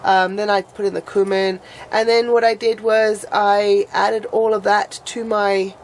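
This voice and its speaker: A woman's voice: mid-range voice, flat delivery, a little slow, slight background noise. A woman vlogging in casual chat, her voice a bit nasal and sniffly from a cold, rambling and restarting her sentences.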